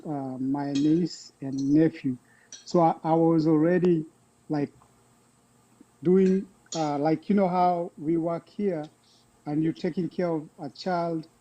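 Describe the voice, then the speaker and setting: A middle-aged man with a Kenyan accent, talking casually and haltingly about himself as a guest caller. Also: fairly high pitch, warm tone, lo-fi sound. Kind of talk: casual personal talk.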